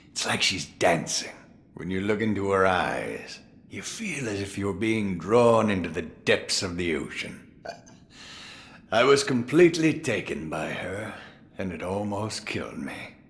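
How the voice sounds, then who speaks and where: Game role: jovial old samurai grandfather, low voice, big swings in intonation, sly and theatrical. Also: creaky, full character voice. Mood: nostalgic, amused. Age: older. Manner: theatrical, amused, drawn-out musing delivery. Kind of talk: dramatic video game voice acting.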